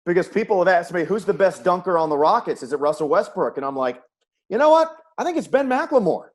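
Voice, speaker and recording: A man's voice: high voice, big pitch swings, fairly quick. A middle-aged American man hosting a conversational sports interview. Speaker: sports interview host, play-by-play announcer.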